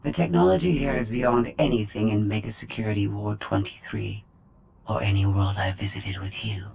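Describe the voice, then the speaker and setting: An actress playing a calculating female droid in a video game, speaking in a measured, formal, superior manner. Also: very deep voice, gravelly, a little mellow, slight background noise. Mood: proud, detached. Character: cold, calculating female droid, proud of her intellect. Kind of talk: scripted video game acting.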